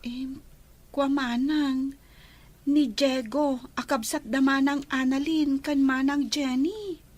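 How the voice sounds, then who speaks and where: A high, slow-paced actress's voice as a shy, apologetic little sister in an audio drama, spoken with an Ilocano Filipino accent. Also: female voice, steady tone, darkish tone. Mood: shy, sheepish. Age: young adult. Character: shy, apologetic younger sister with secret boyfriend. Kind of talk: audio drama acting in dialogue.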